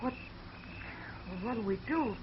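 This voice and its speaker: A woman's voice: fairly high pitch, lively intonation, slow, crowd noise. Dolly, a timid frightened farm girl. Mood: worried. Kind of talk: 1940s radio acting. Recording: muffled old radio recording.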